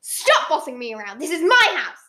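A woman's voice: very high voice, animated, normal speed, bright, forceful tone, roomy sound, pitched above the performer's own voice, exaggerated voice. A woman voicing a grumpy storybook aunt who dotes on her favourite and snaps at others. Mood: angry, bossy. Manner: doting to nephew, snappish to others.

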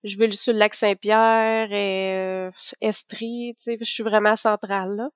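A woman's voice: medium pitch, little pitch movement, unhurried, smooth, dry recording, clean studio sound. A woman in her late twenties with a Quebec French accent, chatting casually as a guest in an interview. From Rivière-du-Loup, Quebec, Canada. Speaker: podcast guest, angler and hunter.

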